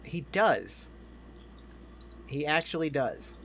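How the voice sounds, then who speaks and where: A man's voice: fairly high pitch, sing-song, slow pace, fairly bright tone, slight background noise. A man chatting casually about movies as a podcast co-host, emphatic, opinionated and given to swearing.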